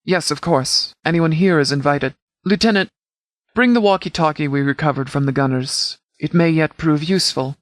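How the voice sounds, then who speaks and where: A man acting as a no-nonsense law-enforcement commander from a video game. His voice sits a little high for a man, and he speaks in formal, precise, measured military phrases. The recording is dry and close. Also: dry recording, close to an everyday voice. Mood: firm, raised. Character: formal, no-nonsense male sheriff's department commander. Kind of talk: scripted game dialogue, mission briefing.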